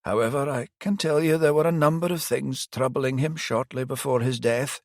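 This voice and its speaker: A man puts on an elderly priest with a gentle, grieving manner, lifting his voice high above his own. The old cleric speaks slowly and softly, weighing each word. The recording is dry and close, with no room sound. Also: steady tone, no room sound, natural voice. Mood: sorrowful. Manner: soft, slow and sighing, higher than the narrator.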